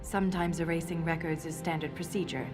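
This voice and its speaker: A principled dwarf historian from a video game, played by a woman, with a fairly low female voice. She speaks in a measured, precise manner with a dry, stubborn edge. Music plays clearly behind her. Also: flat delivery, natural voice. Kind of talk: video game cutscene dialogue.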